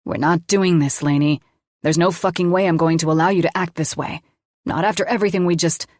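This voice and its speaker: A swearing, wounded young male doctor, put on by a woman narrator, pushing his voice low and rough as he snaps back. The sound is close and dry, with nothing around it. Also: fairly even intonation, normal speed, no echo. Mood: pleading, angry. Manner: growling, swearing, lowered voice.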